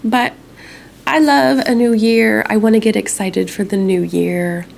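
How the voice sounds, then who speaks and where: A woman's voice: medium pitch, steady tone, measured pace, gravelly, a little mellow. A young woman vlogging casually from home, chatting in a rambling way with a stuffy, congested nose. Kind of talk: casual chat to camera.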